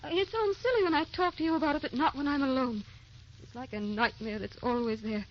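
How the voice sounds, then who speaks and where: An actress playing a tender, anxious young wife in an old radio drama, with a theatrical, wistful, pleading delivery. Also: female voice, high voice, a little fast, close to an everyday voice. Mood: anxious, tearful. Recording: dull, hissy old radio recording.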